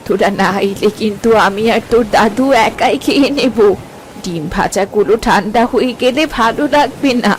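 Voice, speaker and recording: A woman's voice: fairly high pitch, moderate pace, slight background noise, lightly acted. A woman voicing a caring, coaxing old village grandmother in a cartoon story.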